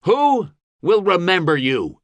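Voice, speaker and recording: A man's voice: sits high, big pitch swings, slow, no room sound, voice pushed up, exaggerated voice. A man voicing a rousing fantasy mercenary leader in an audiobook, giving an impassioned, declamatory speech to an army before battle. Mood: scornful, challenging. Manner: impassioned, declamatory, shouting oratory. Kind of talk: audiobook fantasy character acting.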